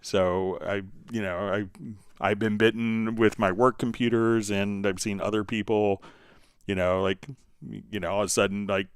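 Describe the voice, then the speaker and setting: A man's voice: on the low side, clear, resonant tone, no room sound, clean studio sound. A man hosting a live question-and-answer stream, talking casually and explaining things in an informal, rambling way with asides. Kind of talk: casual unscripted Q&A talk, reading out viewer questions.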